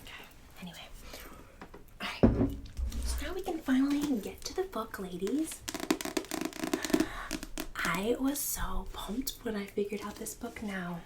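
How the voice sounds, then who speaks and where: A woman's voice: medium pitch, animated, far from the mic, natural voice. Woman playing a snobbish, catty suburban ASMR hostess, noisy recording. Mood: disgusted condescension. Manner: condescending, catty, fake-polite, drawn-out emphasis. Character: snobbish, mean, bragging suburban woman hosting book club. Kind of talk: ASMR roleplay, partly whispered.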